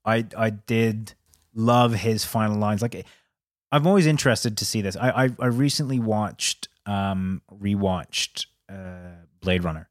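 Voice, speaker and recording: Casting: man, lowish pitch, dry sound, loose hesitant chat for a film podcast. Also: unhurried, no room sound. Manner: hesitant, thinking aloud, swearing. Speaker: film review podcast co-host. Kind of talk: casual chat.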